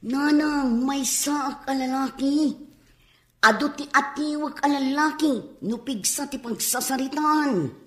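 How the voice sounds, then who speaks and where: An actress playing a headstrong young tomboy daughter, insistent and protesting, in a radio drama. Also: female voice, high voice, takes it slowly, fairly bright tone. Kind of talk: Filipino radio drama acting. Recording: echoey radio drama studio recording.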